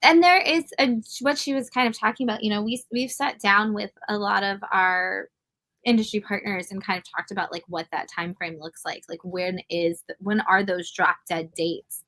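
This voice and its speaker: Female industry panelist, medium pitch, informal and explanatory, casual discussion. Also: steady tone, moderate pace, a bit bright.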